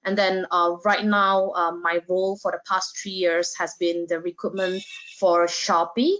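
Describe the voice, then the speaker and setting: A woman's voice: fairly low pitch, flat delivery, unhurried, fairly bright tone. Hesitant woman recruiter. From Indonesia. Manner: hesitant, many fillers. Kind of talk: online panel introduction. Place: Singapore. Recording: dull lo-fi video call audio.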